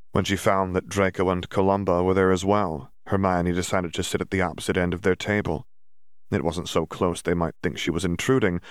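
A man narrates a work of fiction in a low voice. The delivery is scripted audiobook storytelling, and the recording is dry, with little room sound. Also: no room sound.

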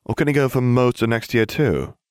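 A man's voice: medium pitch, fairly quick, smooth, no room sound, studio quality, voice pushed up, lightly acted. A man voicing an earnest, worried teenage boy wizard.

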